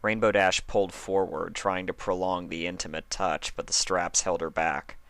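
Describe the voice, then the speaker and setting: Male story narrator, very low pitch with a forceful, bright edge, dramatic reading of fan fiction. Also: little pitch movement, moderate pace, no echo.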